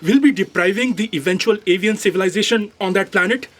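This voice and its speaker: This is a polite, literal-minded assistant from a comic sci-fi audio play, performed by an actor. The middling voice objects conscientiously and in measured words. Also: medium pitch, close to an everyday voice. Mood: earnest. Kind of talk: comedic sci-fi audio drama acting.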